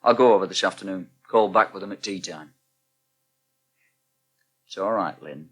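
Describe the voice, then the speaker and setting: Low-voiced, candid houseguest. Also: male voice, normal speed, husky. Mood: reassuring. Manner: frank, reassuring, wry. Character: easygoing, candid male houseguest. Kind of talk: British radio soap acting.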